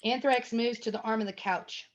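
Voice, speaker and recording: A woman's voice: on the high side, fairly even intonation, moderate pace. A woman narrating a screenplay at a table read, reading scene headings and stage action as scripted narration. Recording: dull, lo-fi sound.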